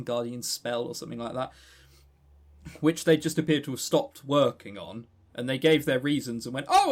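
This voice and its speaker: A man with a southern English accent co-hosting a casual gaming news chat, animated, sardonic and sweary in manner. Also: fairly high pitch. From southern England. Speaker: podcast co-host.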